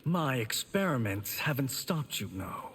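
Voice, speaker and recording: An actor playing a smug, scheming sorcerer villain in a video game, measured and mocking. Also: male voice, medium pitch, sing-song, a bit bright, husky, full character voice. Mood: smug, amused.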